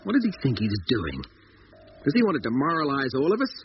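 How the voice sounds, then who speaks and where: A man's voice: mid-range voice, wildly swooping pitch, a little fast. An actor playing a blunt, suspicious, hot-headed male astronaut in a 1950s radio drama, with an accusing manner. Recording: muffled, noisy 1950s radio recording.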